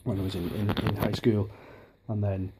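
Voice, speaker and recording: A man in his thirties from Liverpool, chatting casually on a home vlog with a softened Liverpool accent, rambling with many restarts and ums, filmed on a handheld camera in his dining room. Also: fairly low pitch, little pitch movement, moderate pace, heavy background noise. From Liverpool, England. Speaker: hobbyist vlogger. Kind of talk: casual home video chat.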